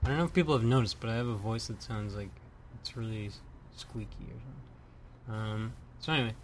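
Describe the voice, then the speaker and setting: A man co-hosting a homemade radio show, chatting casually and tossing in wry, deadpan quips. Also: lowish voice, quick pace, a little hiss or hum. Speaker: co-host. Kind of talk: casual chat.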